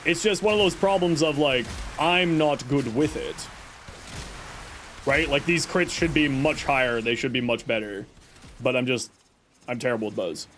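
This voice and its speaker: A man's voice: mid-range voice, normal speed, a bit bright, a little hiss or hum. A man streaming a game and chatting with viewers, self-deprecating and rambling, who is losing his voice. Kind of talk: casual live-stream chat answering viewers.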